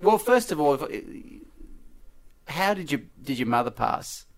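A man's voice: on the high side, sing-song, measured pace. A man from Sydney, a podcast host who asks friendly, chatty questions with asides. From Sydney, Australia. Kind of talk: casual interview chat.

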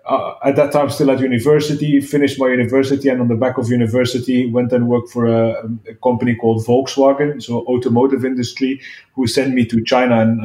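A man's voice: mid-range voice, a little mellow. A middle-aged man from Belgium, a guest in a podcast interview, talking with many restarts and fillers. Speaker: guest, sports event founder. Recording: roomy echo.

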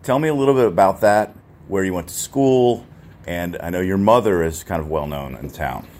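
A man's voice: low-pitched, moderate pace. An American man working as a radio host, asking questions in a casual, conversational interview style. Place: stadium radio booth. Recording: clear steady ambient noise behind the voice.

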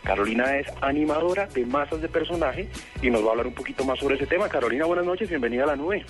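A man's voice: mid-range voice, expressive, quick pace, soft background music. Chatty male radio host. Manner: chatty, rambling, with fillers. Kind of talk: casual radio talk and interview.

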